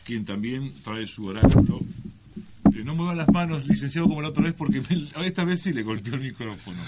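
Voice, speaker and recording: A man's voice: mid-range voice, lively intonation, fairly quick, heavy background noise. A male radio co-host talks with an Argentine accent in a studio. He keeps to joking banter, feeding short prompts and echoing what he hears as the straight man.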